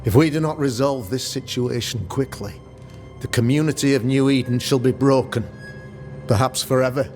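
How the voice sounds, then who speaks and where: An actor playing a solemn, self-regarding older town governor in a video game, speaking in formal, measured, archaic phrasing. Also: male voice, mid-range voice, fairly even intonation, darkish tone, lightly acted. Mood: earnest, grave. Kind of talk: dramatic video game acting. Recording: music under the voice.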